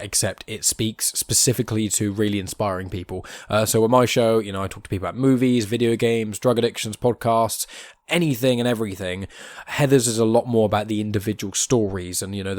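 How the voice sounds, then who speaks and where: A man's voice: on the low side, darkish tone, no room sound, clean studio sound. A man hosting a podcast, talking in an informal, chatty, rambling way. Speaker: podcast host. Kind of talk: casual rambling podcast chat.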